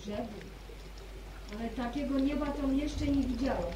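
An actress playing a timid young farm daughter who fears the storm, in a radio play. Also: female voice, high voice, moderate pace, close to an everyday voice. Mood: fearful. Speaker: radio drama character. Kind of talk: 1950s radio play acting. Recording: distant, echoey, noisy old radio recording.

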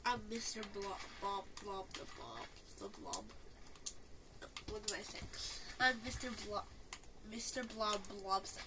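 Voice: medium pitch, sing-song, unhurried, pauses often. A female co-commentator chatting casually during a game, often questioning and interjecting. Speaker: gaming co-commentator. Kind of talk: casual gaming chat. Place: indoor room, filming a TV screen. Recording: noisy room recording with clicking.

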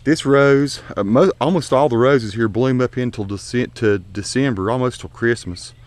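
A man's voice: mid-range voice, normal speed. A man giving a folksy, unscripted, conversational tour of his home garden, recorded outdoors on an action camera while walking. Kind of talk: casual vlog narration. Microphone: action camera mic.

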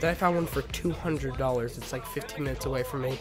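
A teenage male, slightly sick, giving casual, rambling and halting gameplay commentary as host of a gaming video. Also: medium pitch. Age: teenager, about 15. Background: music.

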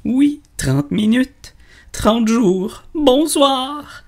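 A storybook lamplighter, acted by a woman, weary and grumbling, speaks slowly in a high voice. The lines swing up and down in a complaining drawl, devoted to duty and longing for rest. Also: lively intonation, creaky, full character voice. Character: weary, grumbling lamplighter, slave to his orders. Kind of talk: audiobook story dramatization.

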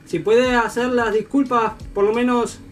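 A young man playing a formal, polite Chilean school director on a prank call, with an imitated Chilean accent and halting manner. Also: very high voice, little pitch movement, distant mic, soft background music. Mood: insistent, polite.

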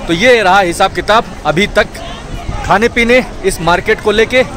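A young man speaks in a notably high voice. He chats to his viewers in a familiar, brotherly way, like a travel vlogger explaining things on the go. Music plays behind him, along with the bustle of a crowd outdoors. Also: moderate pace. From India. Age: young adult. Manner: chatty, addresses viewers as brother. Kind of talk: casual travel vlog to camera. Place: outdoors at a busy railway station.

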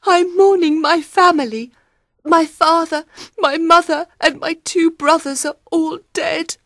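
A man voicing a cruel, scheming queen in a high falsetto, sweet-toned toward her lover. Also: measured pace, a bit bright, no room sound, studio quality, full character voice. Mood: grieving.

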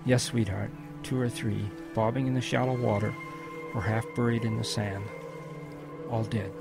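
A man playing a reflective old grandfather who solemnly narrates his wartime boyhood in a radio drama, with a gravelly voice. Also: medium pitch, flat delivery, over music, close to an everyday voice. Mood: solemn, haunted. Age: older. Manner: measured, solemn reminiscence.

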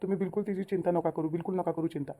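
An earnest young son-in-law voiced by a woman in a village cartoon. The voice is low and very dark, talking quickly and soothingly in repeated assurances with a Vidarbha Marathi accent. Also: steady tone, slight background noise, close to an everyday voice. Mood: reassuring. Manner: soothing, repetitive. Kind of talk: amateur cartoon story dialogue.

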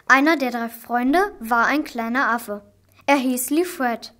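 A woman's voice: fairly high pitch, takes it slowly, a bit bright. A primary school girl reading scripted storybook narration as a narrator. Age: children of primary school age. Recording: clearly noisy amateur recording, clicks.

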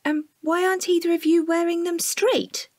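A woman's voice: extremely high pitch, flat delivery, moderate pace, darkish tone. A woman playing an eager, awed nine-year-old girl full of questions in an audio drama. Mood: curious. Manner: wide-eyed, questioning, childlike.

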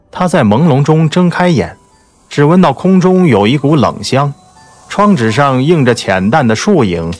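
Guy narrating a romance audiobook, really expressive, soft music under it. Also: medium pitch, dry recording. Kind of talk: scripted dramatic narration of a romance novel.

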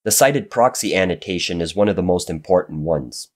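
A man narrating a screen-recorded coding tutorial while getting over a cold, his voice a little raspy and nasal, explaining in a steady, methodical way. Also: low-pitched, fairly quick.

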